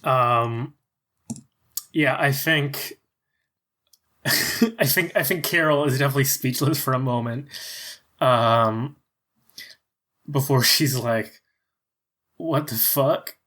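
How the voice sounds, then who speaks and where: Woman player, slow and hesitant casual talk. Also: extremely low pitch, a bit bright. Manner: hesitant, drawling, many fillers. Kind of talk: casual chat in a tabletop game.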